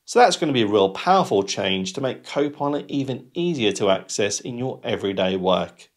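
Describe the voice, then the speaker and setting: A man in his forties hosting a software tutorial in a presenter style. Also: on the low side, expressive, brisk. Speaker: tutorial host.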